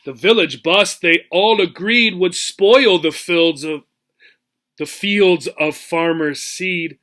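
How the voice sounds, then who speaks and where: A man teacher reads a children's rhyming story in a high, bright voice. He goes slowly and expressively, explaining things simply and checking understanding with a short tag question. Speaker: teacher reading aloud to English learners.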